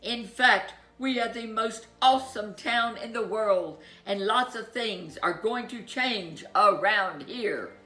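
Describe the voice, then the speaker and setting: A woman voicing a jovial storybook king who makes grand, pompous, booming proclamations. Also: slow, few pauses, sharp tone, reverberant. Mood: grand, jubilant.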